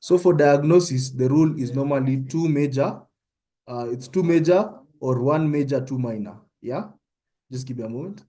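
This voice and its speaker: A man's voice: mid-range voice, normal speed, warm tone. A young Kenyan man with a Kenyan accent, giving an informal online medical tutorial and often checking in with his listeners. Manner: conversational, often checks in with "yeah?".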